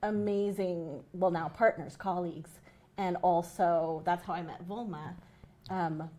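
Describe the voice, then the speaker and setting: A woman activist, a guest on a talk show, speaking in an animated way with frequent "you know". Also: on the low side, a little slow. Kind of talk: casual chat.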